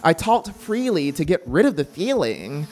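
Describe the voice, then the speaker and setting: A narrator playing a guilt-mad murderer in a theatrical, drawn-out horror audiobook performance, feverish and unraveling. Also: medium pitch, big pitch swings. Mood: uneasy. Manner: theatrical, drawn-out, swinging from hush to shriek. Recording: synthesizer music behind the voice.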